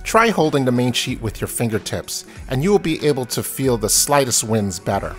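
A man's voice: medium pitch, big pitch swings, normal speed, soft background music. A man in his forties, recorded outdoors on a small sailboat with a phone at arm's length, talking through how to sail the boat. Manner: friendly, explanatory, talks while sailing. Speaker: sailing instructor. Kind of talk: casual instructional vlog. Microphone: phone camera held at arm's length.

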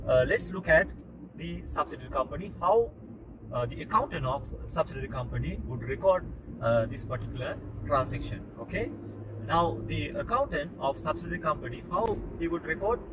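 A man with a slightly high voice gives an informal lesson. He works through it step by step, pausing and repeating himself. There is some vehicle noise behind him. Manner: halting, repetitive, step-by-step. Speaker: accounting tutor. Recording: noisy car interior with road rumble.

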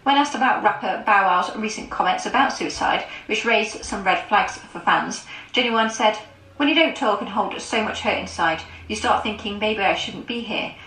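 A woman reading scripted entertainment news in an even, measured way, played back from a clip. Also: mid-range voice, reverberant, low-quality recording.

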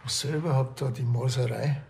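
Quick, needling cop, played by an actor. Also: male voice, medium pitch, steady tone, gravelly, background noise, lightly acted. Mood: nitpicking. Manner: needling, sardonic. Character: teasing, pedantic male Bavarian police officer. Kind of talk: TV crime comedy acting.

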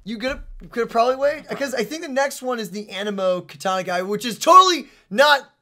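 A man in his twenties hosting a casual game trailer reaction in an excitable, exclamatory way. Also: very high voice, quick pace. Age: 20s. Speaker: reaction show host. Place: small indoor streaming setup.

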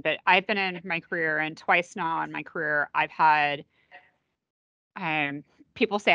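A woman's voice: fairly low pitch, pushed and bright, dry recording. A woman on a panel call, telling a story in a matter-of-fact way. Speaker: panelist, environmental safety executive.

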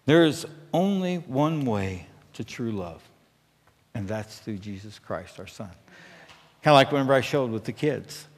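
A man's voice: mid-range voice, expressive, unhurried. A middle-aged American man preaching a conversational sermon as a pastor, earnest and emphatic, often checking in with his listeners. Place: church stage at a pulpit, indoors. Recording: echoey hall with long reverb.

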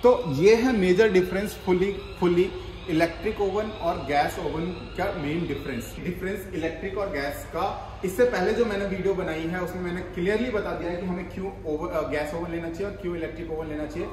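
Young man, higher voice, casual step-by-step explainer; echoey workshop, faint hum. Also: moderate pace. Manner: explains step by step with many repetitions and restarts. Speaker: bakery equipment seller. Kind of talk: casual product demonstration. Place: workshop with ovens, indoors. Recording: echoey room, faint noise.

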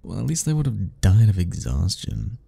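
A man's voice: on the low side, animated, normal speed, creaky, dark tone, breathy edge, dry recording, full character voice. A man playing an anxious, sarcastic, self-deprecating young man in a romantic ASMR roleplay, speaking in a wry, rambling way to the listener. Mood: awkward, teasing. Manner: sighing, wry, rambling, chuckling.

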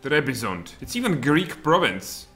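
A man's voice: medium pitch, unhurried, faint music behind. Czech man muttering through a strategy game. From Czech Republic. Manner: animated, muttering asides, sudden exclamations. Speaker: game streamer. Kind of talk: live strategy game commentary. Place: home room, indoors.

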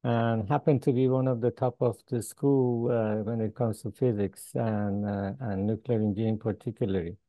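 Older Iranian man, dark mellow voice. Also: on the low side, fairly even intonation, dry recording. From Iran. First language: Farsi. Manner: halting, many "uh" pauses, chuckles between points. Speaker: guest professor. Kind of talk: interview answers. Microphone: video call with earbuds.

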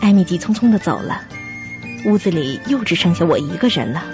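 A woman's voice: fairly low pitch, expressive, brisk, natural voice. A woman playing a pensive, uneasy young woman who narrates a radio drama mystery in the first person. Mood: reflective, uneasy. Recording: dull lo-fi audio. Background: music.

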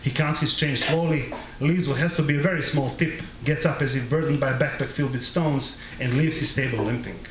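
A middle-aged man from the former Yugoslavia reading his literary short story aloud at a microphone, in a measured, deliberate and wry way. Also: mid-range voice. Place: small bar with brick wall, indoors. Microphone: close microphone on a stand. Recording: roomy live recording, background noise.

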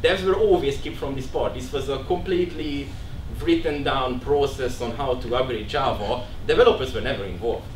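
A man's voice: medium pitch, normal speed, few pauses. A man in his thirties with a Hungarian accent, giving a casual, self-deprecating talk at a tech meetup to a seated audience in an office room. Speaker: conference speaker. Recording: roomy, distant, faint background noise.

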